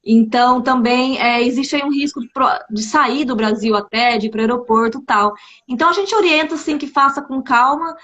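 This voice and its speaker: Chatty high-voiced woman hosting a live stream. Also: brisk, fairly bright tone, slight background noise. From Brazil. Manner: chatty and upbeat, often asking "né?". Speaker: exchange agency host. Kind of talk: casual live-stream chat. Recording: slightly dull, lo-fi live-stream audio.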